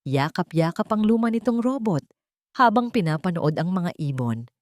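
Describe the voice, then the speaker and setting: A woman's voice: lowish voice, very animated, normal speed, darkish tone. A woman with a Filipino accent reading a scripted dramatic story as its narrator.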